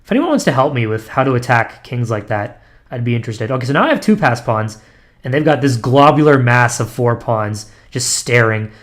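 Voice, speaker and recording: A young man at a medium pitch talks through an online chess game, half to himself. He trails off into ums, restarts his sentences and makes fun of his own play. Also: animated, a little fast. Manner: self-deprecating, muttering analysis with frequent ums and restarts. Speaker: chess streamer. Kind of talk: thinking aloud while playing blitz chess online.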